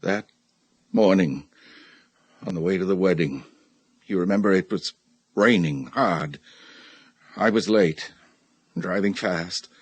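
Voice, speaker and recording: Tormented, halting husband. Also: male voice, deep voice, husky, no room sound, exaggerated voice. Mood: haunted, halting. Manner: halting, heavy, agonized delivery. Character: tormented middle-aged husband, haunted judge confessing killings. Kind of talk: radio drama acting.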